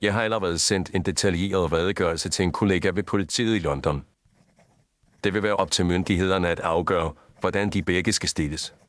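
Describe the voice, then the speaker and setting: A man playing a composed, grave detective in an audio story, speaking formally and with measured deliberation. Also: low voice, fairly bright tone, no room sound. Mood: stern, reasoning. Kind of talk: scripted audio story dialogue.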